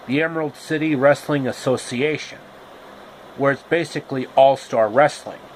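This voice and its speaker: A man narrating a homemade story with deliberate, halting phrasing. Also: mid-range voice, slight background noise, low-quality recording.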